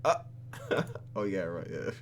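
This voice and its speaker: A man's voice: deep voice, very animated, slow, slight background noise. A man hosting a let's play, reading a game script aloud with joking, slangy asides.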